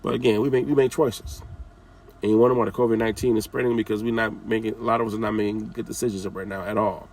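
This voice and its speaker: Gravelly man lecturing from a distance. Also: fairly low pitch, steady tone, fast talker, darkish tone. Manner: direct, scolding, repeats phrases, casual slang. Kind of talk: informal motivational talk.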